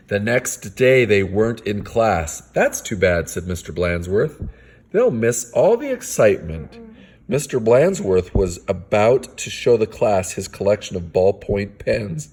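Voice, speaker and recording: A man with a fairly low voice reads a children's picture book like a playful dad. His pitch swings widely as he delivers it in a theatrical, announcer-like way. He slips in casual asides to the kids. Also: darkish tone.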